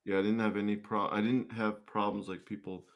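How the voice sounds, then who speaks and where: A man with a low-pitched voice chats casually as a guest in an interview. He speaks hesitantly, often doubling back to repeat or correct a phrase. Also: level tone, fairly quick, highs cut off. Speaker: guest, singer-songwriter and musician.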